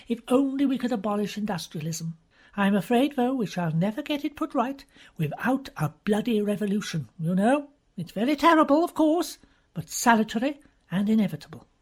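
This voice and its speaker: A woman's voice: medium pitch, husky, voice pushed up. A woman doing a gushing young radical woman.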